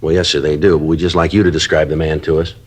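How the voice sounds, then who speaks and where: Police detective, low pitch, brisk, matter-of-fact, 1950s radio drama. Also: male voice, level tone, darkish tone, breathy edge. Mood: patient. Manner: clipped, polite, matter-of-fact questioning. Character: terse, patient male police detective sergeant.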